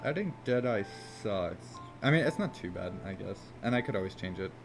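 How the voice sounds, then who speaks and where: A man casually chatting on a game development live stream, rambling and swearing easily, with a somewhat monotone voice. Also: fairly low pitch. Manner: rambling, laid-back, swears casually, frequent "like" fillers.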